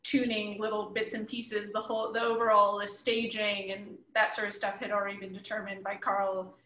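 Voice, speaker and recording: A woman's voice: medium pitch, level tone, moderate pace, pushed and bright, echoey room, rough recording. A woman in her thirties chatting casually as an interviewed guest on a video call from a room at home. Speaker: interviewed comics creator. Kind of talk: casual panel discussion. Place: living room at home, indoors. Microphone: computer mic at a short distance, video call.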